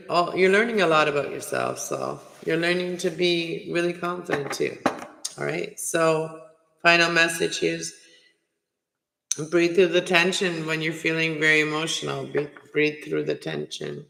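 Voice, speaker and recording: A man with a strained, raspy throat giving a chatty, reassuring casual tarot reading from home. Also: on the high side, little pitch movement, measured pace, bright, forceful tone. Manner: chatty, reassuring, many filler phrases. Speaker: tarot reader. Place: home, cold room. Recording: echoey room with long reverb.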